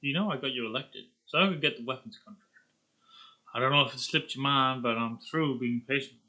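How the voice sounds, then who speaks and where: Man acting a smug, sharp-voiced supervillain. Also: medium pitch, a little fast, low-quality recording. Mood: smug threat. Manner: smug, threatening. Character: scheming male supervillain threatening a president. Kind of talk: animated villain script reading.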